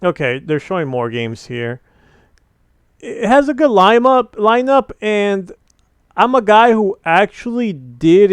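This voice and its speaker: A man's voice: fairly high pitch, clean tone, dry recording. A young man giving casual, opinionated commentary on gaming news, rambling with many fillers and restarts. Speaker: gaming channel host.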